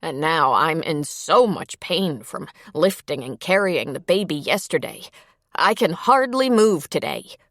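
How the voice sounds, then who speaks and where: A woman's voice: deep voice, expressive, measured pace, no echo. A woman voicing an irritable older woman in pain, dry, curt and complaining, in an audiobook. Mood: weary, defensive. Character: irritable, pained older woman, weary and dismissive. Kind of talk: audiobook character dialogue.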